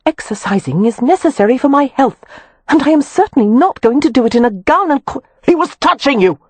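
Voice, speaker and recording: A young noblewoman, high-pitched and breathy, protesting with prim indignation, as an audiobook reader puts her on. Her voice swings up sharply, and the reading sits close and dry on the mic. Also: expressive, fairly quick, darkish tone, no room sound. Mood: exasperated. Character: young noblewoman, composed but exasperated.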